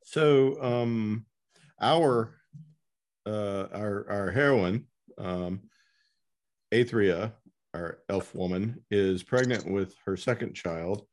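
Low voiced guy hosting a chatty interview, kinda halting and informal. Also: darkish tone. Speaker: podcast host interviewing an author. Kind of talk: casual interview chat.

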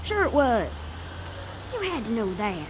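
A streetwise gal informant, high-voiced and very animated in pitch. She drawls out her slangy wisecracks slowly and breezily in a period radio drama, with some background noise behind her. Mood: breezy, cheeky. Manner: slangy, casual, unbothered wisecracking. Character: cheeky, streetwise young female informant, slangy and offhand. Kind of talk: 1930s noir audio drama acting.

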